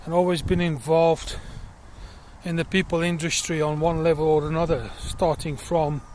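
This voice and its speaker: A middle-aged man with a South African accent, talking earnestly about himself in a personal vlog while out walking in a field, with many pauses and fillers. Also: a little high, little pitch movement, darkish tone, a little hiss or hum. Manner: earnest and reflective, pausing with fillers. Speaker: crowdfunding campaigner. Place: outdoors in a field.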